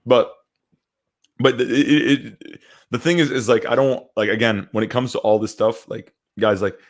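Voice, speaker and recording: A man's voice: mid-range voice, steady tone, normal speed. A man in his twenties from Syria and Canada, chatting casually and hesitantly as a podcast co-host, often repeating words as he goes. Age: 20s. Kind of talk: casual live discussion.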